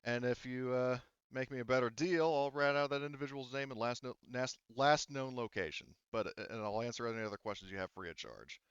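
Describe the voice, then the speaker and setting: A man's voice: medium pitch, a little fast, few pauses, a bit bright, smooth, no room sound, muffled recording, close to an everyday voice. A man voicing a stoic, blunt captured criminal in a tabletop roleplaying game, with hesitant delivery. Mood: blunt, bargaining. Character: stoic captured male henchman leader bargaining coolly.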